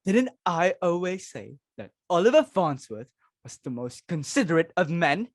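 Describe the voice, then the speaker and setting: A woman's voice: on the low side, expressive, moderate pace, no room sound, studio quality. A woman playing a flustered, imperious middle-aged society hostess and mother in a stage comedy reading, dramatic and exclamatory, repeating herself for emphasis. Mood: grand, satisfied.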